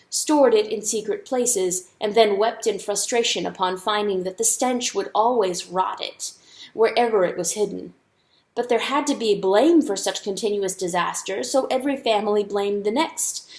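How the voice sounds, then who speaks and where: A woman narrating an audiobook story as a dramatic, scripted reading. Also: mid-range voice. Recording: echoey, roomy sound.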